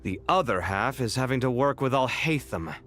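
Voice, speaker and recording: An actor playing a conscientious young scholar in a video game, expressive and theatrical, quick to grumble. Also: male voice, medium pitch, a little hiss or hum, natural voice. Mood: exasperated. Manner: animated, theatrical. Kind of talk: video game dialogue acting.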